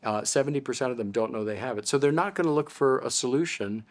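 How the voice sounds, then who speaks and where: A man's voice: medium pitch, fast. Older American male expert guest, explanatory, patient interview delivery. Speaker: audiologist guest.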